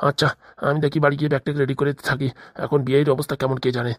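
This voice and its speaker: Give this comedy cartoon a worried middle-aged father, acted by a woman. The voice sits low and rattles along very quickly. He frets in homely, colloquial speech, and the sound is very dry. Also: level tone, no echo. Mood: fretful. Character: worried middle-aged father and future father-in-law, chatty, caring.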